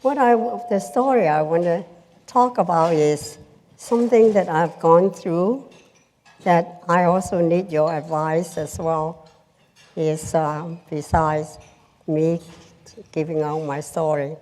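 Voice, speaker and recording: An older woman from Hong Kong, a businesswoman telling her life story on a panel in a slow, halting way with many pauses. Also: on the low side, fairly even intonation, a little mellow. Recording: long hall reverb.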